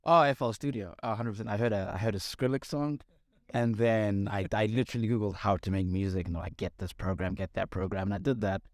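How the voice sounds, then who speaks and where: A man's voice: on the low side, steady tone, dry recording, clean studio sound. A man in his twenties, a music producer, chatting casually and joking with easy laughs. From Zimbabwe. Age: 20s. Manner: laid-back, jokey, quick to laugh. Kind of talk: casual chat.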